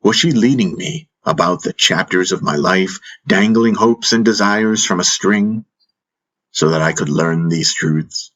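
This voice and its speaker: A man reads a fantasy audiobook in a low, husky voice. His delivery is reflective and dramatic, like someone recounting his own life story. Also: a little slow, a bit bright, lo-fi sound. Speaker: audiobook narrator. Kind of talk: dramatic scripted first-person fantasy narration.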